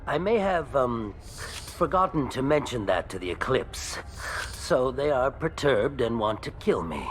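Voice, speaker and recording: A fussy, oily alien trader with a lively, swooping delivery. He wheedles nervously like a crooked salesman in a game, over faint ambient noise. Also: mid-range voice, a little slow. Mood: sheepish, shifty. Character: small, shifty male alien merchant, oily and nervous. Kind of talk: video game character acting.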